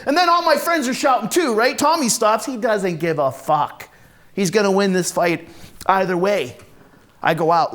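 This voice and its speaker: A man playing a volatile, hard-drinking, foul-mouthed Marine from a rough neighborhood, telling his story in a raw, halting dramatic monologue. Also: on the high side, a bit bright, lightly acted. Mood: agitated, angry, then grim, pained. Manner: raw, profane, halting then bursting into anger. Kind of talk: first-person dramatic monologue, conversational storytelling.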